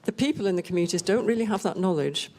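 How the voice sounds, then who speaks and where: An older woman from Scotland giving an unscripted panel talk in a measured, explanatory way with emphatic repetition. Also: mid-range voice, animated, darkish tone. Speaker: panel speaker on rural communities.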